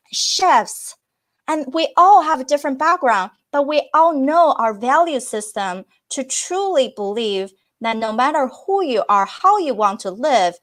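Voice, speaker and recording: A woman whose first language is Chinese, answering interview questions earnestly, with false starts and fillers. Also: high-pitched. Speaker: podcast guest.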